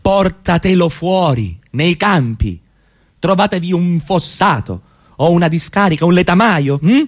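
A man's voice: sits high, animated, measured pace. A man in a radio play acting a proud, jealous middle-class husband, formal and sarcastic in his speech. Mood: angry, biting. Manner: formal, pompous wording with biting sarcasm.

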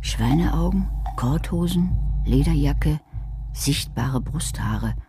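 A woman's voice: sits low, animated, takes it slowly, noisy background. A woman narrating a radio play as a calculating, wry character, with dry, sardonic delivery.

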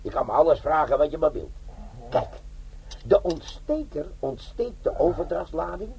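An actor playing a brash, wry older bomb disposal sergeant in a comic crime radio play, sardonic and fond of lecturing. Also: male voice, a little high, big pitch swings, normal speed, gravelly, darkish tone, a little hiss or hum, poor audio. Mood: jovial lecturing.

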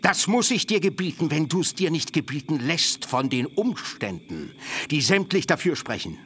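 A man's voice: a little high, very animated, moderate pace, a bit bright. A man playing a cunning, pompous older uncle in a literary radio play, lecturing in a sly, self-righteous way. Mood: stern. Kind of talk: stylized literary radio drama.

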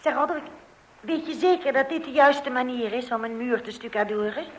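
A very high-pitched, flustered housewife played by an actress in a radio play. She is polite and falters as she speaks, hesitant and unsure of herself. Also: steady tone, a little fast, very noisy, natural voice. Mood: doubtful. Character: flustered, polite housewife, wife of a clumsy do-it-yourselfer. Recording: old radio play recording.

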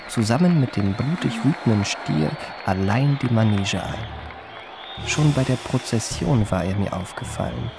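A man's voice: on the low side, noisy background. An actor playing a refined, self-regarding adventurer who recounts his memoirs in polished, ornate phrasing for a radio drama. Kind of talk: literary radio drama narration.

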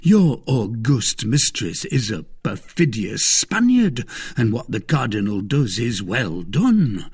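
A man's voice: medium pitch, sing-song, slow pace, a little mellow, husky, heavily acted. A man voicing a pompous, boastful merchant husband in a period novel, speaking with self-satisfied emphasis. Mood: defensive.

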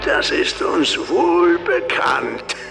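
An actor playing a mournful fairground singer in a radio play, declaiming in drawn-out phrases. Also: male voice, fairly high pitch, gravelly, full character voice. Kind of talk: German-language radio play acting. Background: music.

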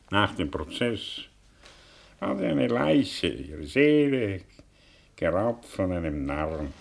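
A man's voice: fairly low pitch, vocal fry, exaggerated voice. A man acting in a 1960s German radio drama as a broken, grandiose professor, bitter, mocking and declamatory.

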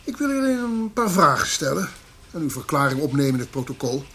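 A man's voice: on the high side, sing-song, fairly quick, fairly bright tone, slightly rough, heavily acted. A male actor playing a polite police investigator in a radio drama, dry, understated and formal. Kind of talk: Dutch radio drama acting. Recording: faint background noise.

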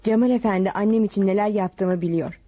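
A woman's voice: medium pitch, a little fast, slightly rough, natural voice. An actress playing a weary, resentful middle-aged wife and mother in radio theatre. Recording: dull lo-fi radio recording.

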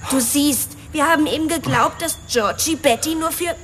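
Woman acting a high-voiced, defiant rich daughter. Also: moderate pace, soft background music, close to an everyday voice. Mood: defensive, confessing. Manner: emotional, self-justifying. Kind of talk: German radio drama acting.

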